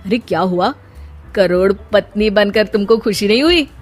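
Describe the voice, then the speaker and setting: A woman's voice: medium pitch, normal speed, faint music behind, lightly acted. A woman voicing a kind, devoted young husband in a cartoon story, earnest and soothing. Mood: glad, devoted.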